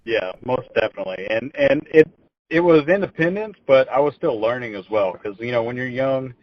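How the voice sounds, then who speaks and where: A man's voice: medium pitch, expressive, brisk, dry recording. Guy in his twenties, podcast guest, rambling storyteller, dull lo-fi sound. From Texas. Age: 20s. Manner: rambling, repeats words when starting phrases. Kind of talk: casual interview storytelling. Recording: dull lo-fi audio.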